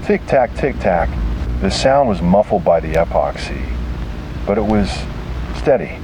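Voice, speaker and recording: A man's voice: low voice, huge pitch swings, a little slow, vocal fry, noisy background. A man narrating a scripted first-person detective horror story.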